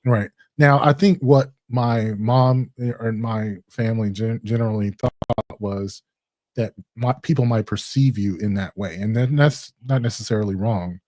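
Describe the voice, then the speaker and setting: A man from Chicago with a deep, rich voice, co-hosting a casual chat in a measured, reflective way. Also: darkish tone, no room sound. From Chicago, USA. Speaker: co-host.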